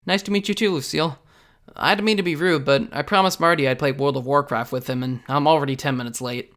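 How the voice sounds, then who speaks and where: A man's voice: on the high side, fairly even intonation, fast, fairly bright tone, natural voice. A man voicing an awkward, self-deprecating teenage boy with a sardonic, confessional way of talking, in a comic dub. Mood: wry narration. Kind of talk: comic dub narration and dialogue. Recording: music under the voice.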